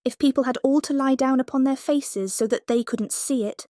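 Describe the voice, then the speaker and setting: A woman's voice: sits high, moderate pace, no room sound, studio quality, pitched above the performer's own voice, lightly acted. A woman voicing a polite but plucky, curious young girl in a storybook. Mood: musing. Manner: polite, bright-spirited, decided. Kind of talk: storybook character voice.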